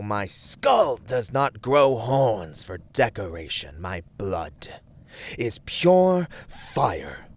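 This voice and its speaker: A proud, menacing demonic warlord in an ASMR villain roleplay. His low voice is slow and deliberate, grandly commanding, and often sinks toward a breathy whisper. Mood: boastful.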